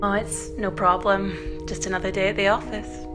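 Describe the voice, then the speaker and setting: A woman's voice: mid-range voice, level tone, normal speed, music in the background, natural voice. A woman playing a tough, wry, no-nonsense agent in an audio drama, with a dry delivery. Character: brisk, wry, tough armed female agent.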